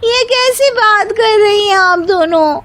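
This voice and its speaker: A woman puts on a young, defiant daughter-in-law for a domestic story. The voice is very high and shrill. She whines her complaints with indignant force. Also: slow, fairly bright tone, heavily acted. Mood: argumentative.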